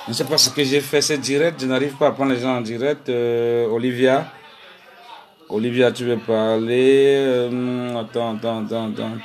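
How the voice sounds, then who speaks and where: A man's voice: medium pitch, steady tone, normal speed, darkish tone. A middle-aged man from Cameroon hosting a live stream, ranting angrily and emphatically at his critics, then fumbling and muttering. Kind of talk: heated live-stream rant to viewers.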